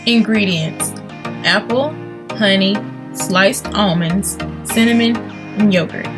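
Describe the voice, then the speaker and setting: A woman's voice: medium pitch, slow. A woman giving a scripted, step-by-step instructional voice-over for a recipe demonstration. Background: music under the voice.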